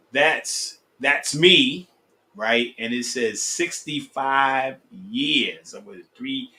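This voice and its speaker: A man's voice: mid-range voice, sharp tone. A man in his sixties hosting his podcast, chatting in an upbeat, rambling way with lots of fillers and restarts. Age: 60s. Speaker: podcast host. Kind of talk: casual chat.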